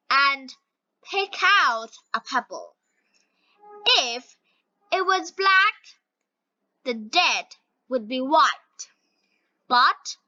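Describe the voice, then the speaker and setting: A woman reading scripted story narration for language learners, word by word with frequent pauses. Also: sits high, pushed and bright, dry recording, poor audio.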